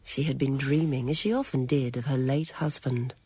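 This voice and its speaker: A narrator reading a scripted dramatic story in a measured, literary manner. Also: medium pitch, steady tone, warm tone, husky.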